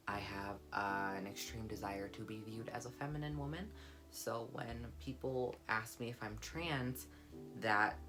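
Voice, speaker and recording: Deep-voiced young woman, warm and comforting tone, soft-spoken and candid, guitar music underneath. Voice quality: deep, low voice. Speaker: vlogger. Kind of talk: personal vlog monologue.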